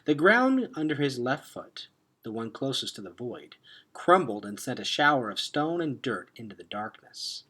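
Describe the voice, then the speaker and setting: Man reading a story aloud, very animated pitch. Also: normal speed, breathy edge. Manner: expressive, drops to hushed tones for suspense. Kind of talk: dramatic read-aloud narration of a children's novel.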